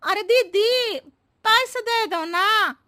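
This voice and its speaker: A woman's voice: extremely high pitch, extremely slow, bright, forceful tone, dry recording, clean studio sound, heavily acted. A woman voicing a muddled, cheeky cartoon housemaid who talks in stubborn, wheedling riddles and roundabout phrases. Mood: pleading. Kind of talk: exaggerated Hindi cartoon comedy acting.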